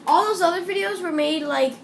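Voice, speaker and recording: Brief: playful young woman reactor, high voice, echoey room. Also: a little fast, creaky, a crowd in the background. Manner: playful, jokey interjections. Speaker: reaction video host. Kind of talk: casual reaction commentary.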